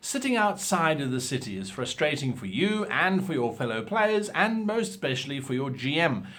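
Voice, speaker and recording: A man hosting an advice show, explaining things to viewers in an animated, theatrical and emphatic way. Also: fairly high pitch, moderate pace. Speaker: host of a role-playing advice show. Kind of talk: instructional talk to viewers with acted example lines.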